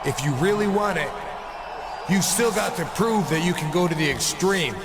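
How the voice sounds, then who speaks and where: A man's voice: high-pitched, animated, normal speed, over a noisy crowd. A man playing a cocky, laid-back rebel wrestling champion who talks in slangy, defiant promos. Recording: very noisy arena ambience.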